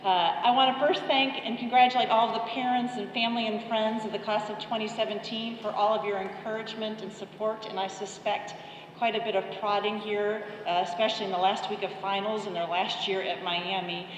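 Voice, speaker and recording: A woman's voice: mid-range voice, level tone, fairly quick, slight background noise. Woman in her fifties speaking in a hall. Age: 50s. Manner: conversational, informal address. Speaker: commencement guest speaker. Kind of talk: ceremony speech. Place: large ceremony hall. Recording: echoey, dull hall recording.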